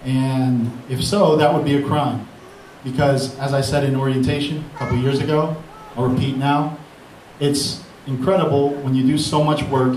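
A man's voice: mid-range voice, darkish tone, slight background noise. A man, a beat producer, giving a rambling, grateful and self-deprecating speech on a mic at a live event. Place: event hall with an audience. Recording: echoey hall mic from far off.

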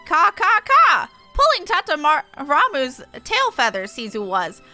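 A woman voicing an excitable birdlike game beastman who squawks and speaks emphatically in inverted word order. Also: sits high, measured pace, soft background music, voice pushed up, heavily acted. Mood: agitated.

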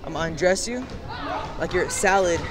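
A teenage boy staging loud prank phone calls in a public space. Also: high voice, a little fast. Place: school lunch area and gymnasium. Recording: noisy echoing public space, crowd chatter.

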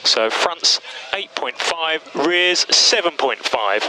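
A man's voice: a little high, expressive, takes it slowly. A man working as a race engineer, giving terse instructions over team radio. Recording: noisy Formula One pit-to-car team radio. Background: loud engine and pit lane noise.

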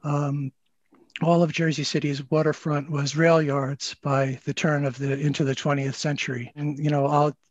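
An older man, a librarian, explaining history informally and haltingly on a video call. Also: a little high, little pitch movement, dry recording. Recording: dull, lo-fi video call audio.

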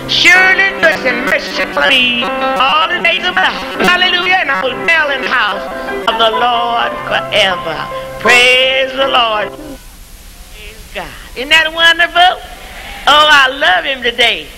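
A woman's voice: sits high, poor audio. A woman in her seventies, a church mother, giving a fervent testimony full of praise in a church, on an old tape recording. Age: 70s. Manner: fervent, exclaims praise often. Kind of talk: church testimony and gospel singing. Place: church sanctuary.

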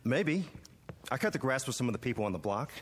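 A polite, husky young man. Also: medium pitch, big pitch swings, quick pace, long reverb tail. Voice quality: polite, hesitant. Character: polite young male student who mows lawns. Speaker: actor in a TV drama. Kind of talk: 1980s TV drama acting.

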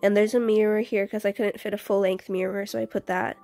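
A woman's voice: medium pitch, level tone, brisk, mellow, faint music behind. A young woman doing a casual, chatty house-tour voice-over for a game video, often interrupting herself in an informal way. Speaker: video creator.

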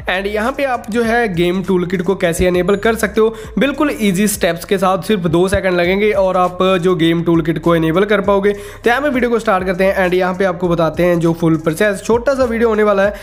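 A man hosting a casual step-by-step tech tutorial, mixing English words and stock phrases into his speech. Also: high voice.

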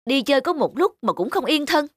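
A man voicing a carefree young husband in an animated drama, speaking in a casual, expressive way. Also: extremely high pitch, fairly quick, no echo, clean studio sound, heavily acted. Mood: annoyed. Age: young adult. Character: carefree young husband, easily irritated by his wife. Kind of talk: animated moral drama dubbing.

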